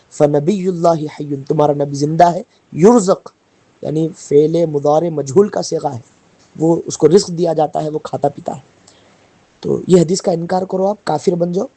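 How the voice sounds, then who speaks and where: Husky, dark-voiced man preaching. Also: on the high side, no room sound, rough recording. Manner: didactic, emphatic, repeats and quotes scripture. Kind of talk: religious explanation in a voice message.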